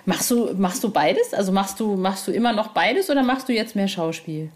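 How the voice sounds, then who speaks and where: A woman's voice: medium pitch, animated, moderate pace, a little mellow. A woman hosting a casual podcast interview, chatting in an informal, enthusiastic way.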